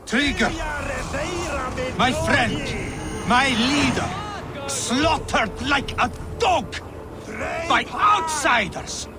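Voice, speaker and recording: An actor playing a zealous, embittered rebel commander of archers in a video game, speaking like an orator. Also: male voice, very high voice, very animated, very slow, pauses often, heavily acted. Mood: rousing grief. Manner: declamatory, oratorical. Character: rabble-rousing treacherous male archer commander, zealous and embittered. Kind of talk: dramatic video game acting. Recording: long reverb, music underneath.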